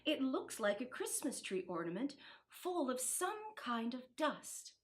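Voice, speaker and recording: A woman's voice: high-pitched, sing-song, unhurried, pitched above the performer's own voice. A woman storyteller voicing a thoughtful young boy in a Christmas storybook, plain-spoken and a little guarded. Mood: wondering.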